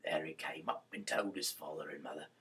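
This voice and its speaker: A man reading scripture aloud as an amateur, in a breathy, gravelly voice with a halting, stumbling delivery. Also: rumbling low, pushed and bright, far from the mic, a little hiss or hum. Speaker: reader, host of a Bible-reading series.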